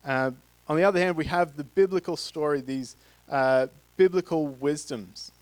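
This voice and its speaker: Halting Australian man preaching. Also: fairly high pitch, no room sound, low-quality recording. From Queensland, Australia. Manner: halting, many fillers and restarts. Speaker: guest speaker from a charity. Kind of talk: church talk to a congregation. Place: church stage with a live congregation. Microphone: handheld mic.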